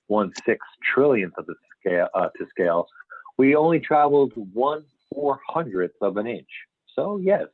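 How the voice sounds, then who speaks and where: A man playing an earnest, assured UFO scientist in an amateur script reading, explaining emphatically with some halting stumbles. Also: lowish voice. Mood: explanatory, emphatic. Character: male expert scientist investigating UFO reports, earnest and assured. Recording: tinny phone-like conference call, echoey room.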